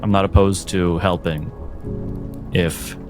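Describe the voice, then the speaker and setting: A man's voice: low voice, flat delivery, takes it slowly, music in the background. A man voicing a suspicious, blunt bartender in a fantasy tabletop game. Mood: stern, guarded. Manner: blunt, wary. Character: suspicious, guarded male tavern bartender.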